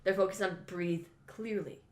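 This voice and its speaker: A woman's voice: lowish voice, roomy sound, crowd noise. A teenage girl guest in casual podcast chat, eager and sniffling from allergies, often talking over the others. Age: teens. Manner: fast, excitable, talks over others.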